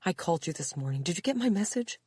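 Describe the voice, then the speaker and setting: A woman's voice: lowish voice, big pitch swings, fast, a little mellow, husky, dry recording, voice pushed up. A female narrator acts out an older mother in a worried audiobook scene. She fusses insistently and asks urgent questions, her voice raised above the narration in a dry recording. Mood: aghast, urgent. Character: anxious, fussing mother, older woman, aghast and insistent.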